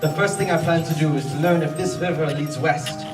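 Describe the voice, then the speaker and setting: A fairly high male voice from a distance, a man playing an adoring frontier fur trader full of adventure. He declaims in flowery phrases, as in an amateur radio play, with music behind. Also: a little fast, natural voice. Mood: adoring, hopeful. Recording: echoey stage, distant mic, music behind.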